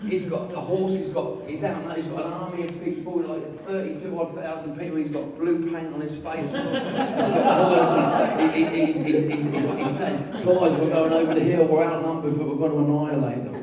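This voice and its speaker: Guy preaching, funny and animated, echoey hall, people laughing along. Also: on the high side, normal speed, few pauses. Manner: jokey, animated storytelling with audience participation. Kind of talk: lively sermon to a congregation. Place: large hall with an audience. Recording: echoey hall, far from mic, crowd.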